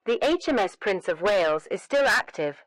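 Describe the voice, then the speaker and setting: A woman delivering a scripted emergency alert announcement in a formal, measured, solemn manner. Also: mid-range voice, big pitch swings, dry recording.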